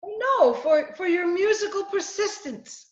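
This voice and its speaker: A woman's voice: extremely high pitch, animated, unhurried, reverberant, lo-fi sound. A woman playing a patient, wry, affectionate housewife in old-time radio comedy, on a video-call recording. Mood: fond, proud.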